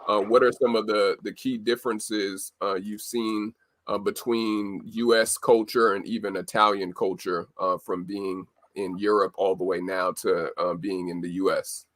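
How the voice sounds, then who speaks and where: A man's voice: fairly low pitch, flat delivery, a bit bright. Upbeat male host, lots of "uh". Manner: upbeat, fills pauses with "uh". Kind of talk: live audio show interview.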